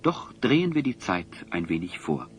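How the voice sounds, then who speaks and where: A man's voice: low voice, sing-song, normal speed. A man narrating a fantasy audio drama as a solemn storyteller, with dramatic, literary delivery. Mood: solemn, suspenseful. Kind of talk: scripted narration. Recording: dull, faintly noisy audio drama recording.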